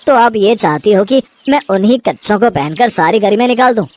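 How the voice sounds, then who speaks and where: A woman's voice: mid-range voice, animated, fairly quick, a little mellow, slight background noise, close to an everyday voice. A woman voicing an exasperated young son. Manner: exasperated, pleading. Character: young man of 25, frustrated son.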